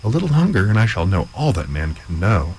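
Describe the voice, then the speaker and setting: A man's voice: on the low side, big pitch swings, brisk, a little mellow, a little hiss or hum, rough recording. A man voicing a crafty male gardener who pretends to be a wise sage, speaking in solemn, old-fashioned phrases. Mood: grandiose. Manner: grand, solemn, archaic speech.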